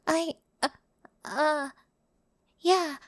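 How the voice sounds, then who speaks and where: A woman playing a high-voiced, flustered young girl. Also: steady tone, extremely slow, no echo, studio quality. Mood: hurt, flustered. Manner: stammering, breathless, emotional. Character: flustered, starstruck, insecure young delivery girl. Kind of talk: scripted audio roleplay acting.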